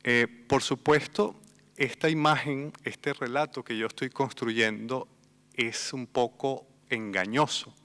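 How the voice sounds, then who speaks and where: A man from Venezuela with a Venezuelan Spanish accent, speaking thoughtfully on a literary festival panel, pausing and repeating words as he thinks. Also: mid-range voice, steady tone, pushed and bright. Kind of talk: reflective panel talk. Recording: long hall reverb.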